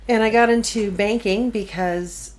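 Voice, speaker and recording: A woman's voice: mid-range voice, fairly even intonation, a little slow. A woman in her forties, originally from Venezuela, chatting as a podcast guest in an easygoing, good-humored way. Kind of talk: casual conversation.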